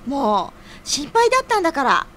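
A woman's voice: high voice, huge pitch swings, normal speed, fairly bright tone, slight background noise, full character voice. A woman playing an impatient, bossy but caring friend in an audio drama, pouting and exclaiming. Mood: scolding.

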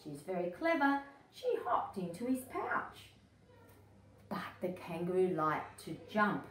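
A middle-aged woman, an educator, reading a picture book aloud to young children in an expressive, emphatic way. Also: fairly low pitch, unhurried. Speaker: early childhood educator, story-time host. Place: small indoor room. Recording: echoey room, distant mic, faint noise.

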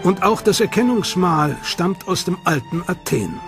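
A man's voice: high voice, expressive, creaky. Man narrating a documentary over music. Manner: measured, dramatic storytelling. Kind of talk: scripted narration.

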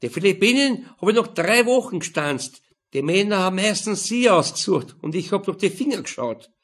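A man's voice: high-pitched, very animated, normal speed, a bit bright, pitched above the performer's own voice, exaggerated voice. A man voicing a brash, mocking middle-aged Viennese prostitute in an audiobook, blunt and teasing. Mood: sardonic. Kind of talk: audiobook character voice.

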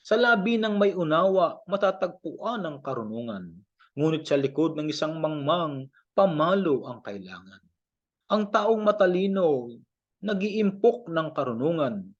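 A man with a Filipino accent reading scripture aloud in a measured, declamatory recitation, verse by verse. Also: fairly high pitch. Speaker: Bible reader. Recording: dull lo-fi home recording.

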